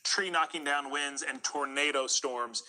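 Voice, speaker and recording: A man's voice: fairly high pitch, measured pace, bright, forceful tone, rough recording. A man working as a TV meteorologist, explaining storms conversationally during live severe weather coverage.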